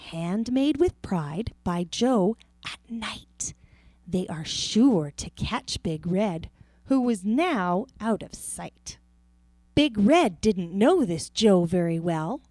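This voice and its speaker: A woman reading a rhyming children's picture book aloud in a theatrical, deliberate way. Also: medium pitch, a little mellow, no echo. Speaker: storybook reader.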